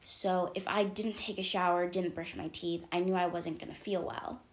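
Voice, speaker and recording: A woman's voice: fairly low pitch, little pitch movement, normal speed. A teenage girl with sniffles from allergies, talking openly to her camera in a rambling, casual vlog. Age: late teens. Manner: chatty, rambling, lots of ums and likes. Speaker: vlogger.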